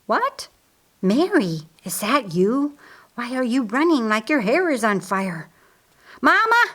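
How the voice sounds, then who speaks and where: A woman's voice: a little high, big pitch swings, slow pace, a bit bright, full character voice. A woman playing an anxious, dutiful female household slave, urgent and deferential, in an audiobook. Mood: startled.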